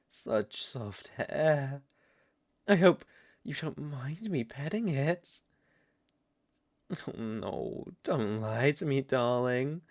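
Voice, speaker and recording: Man acting as vampire lord, British accent, seductive, condescending, unhurried ornate delivery, dry intimate ASMR sound. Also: mid-range voice, animated, a little mellow, no echo. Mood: soft, seductive. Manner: slow, teasing, ornate condescending speech. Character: seductive, domineering aristocratic male vampire lord. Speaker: ASMR roleplay performer. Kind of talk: ASMR roleplay, intimate monologue.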